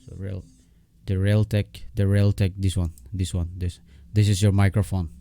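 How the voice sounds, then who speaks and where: A man giving a casual, unscripted software tutorial in a halting, repetitive way, often checking in with his listener. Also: deep voice, flat delivery.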